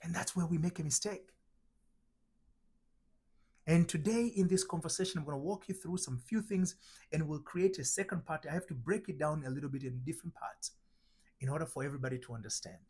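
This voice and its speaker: A middle-aged man from Tanzania giving a spiritual teaching talk, slow and emphatic, asking rhetorical questions. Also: fairly high pitch, darkish tone, breathy edge. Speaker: identity transformation coach.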